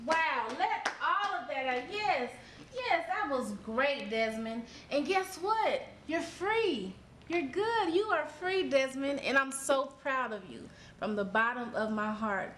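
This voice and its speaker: A woman's voice: sits high, lively intonation, takes it slowly, a bit bright, exaggerated voice. A woman playing a supportive group leader, speaking in an affirming, emphatic way. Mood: proud, encouraging. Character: supportive, encouraging female leader of a group session. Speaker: group facilitator. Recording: echoey room with faint background noise.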